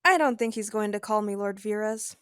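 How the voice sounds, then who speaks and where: A woman's voice: mid-range voice, fairly quick, a bit bright, clean tone, no echo, studio quality, lightly acted. An actress playing a loyal young woman hacker, candid and conversational, a little nervous. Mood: wary, candid. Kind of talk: audio drama acting.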